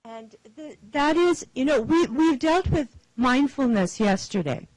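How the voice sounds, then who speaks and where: A woman moderating a conference who introduces a guest speaker, with a hesitant delivery and many pauses. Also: sits high, lively intonation, a little mellow, no room sound, poor audio. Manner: hesitant, many fillers and restarts.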